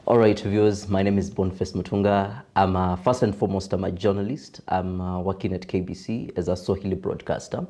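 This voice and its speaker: A young Kenyan man with a deep voice, a broadcaster and voiceover artist chatting as a podcast guest, laughing easily and mixing in Swahili. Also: steady tone, brisk, few pauses, mellow. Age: young adult. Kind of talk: casual interview chat.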